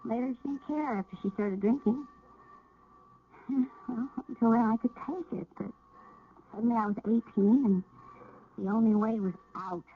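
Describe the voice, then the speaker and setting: Guarded young female runaway for radio drama: dry, hesitant, husky, muffled phone-like broadcast. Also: on the high side, normal speed, long pauses. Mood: confiding, downcast. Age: young adult. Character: wary, guarded eighteen-year-old runaway girl.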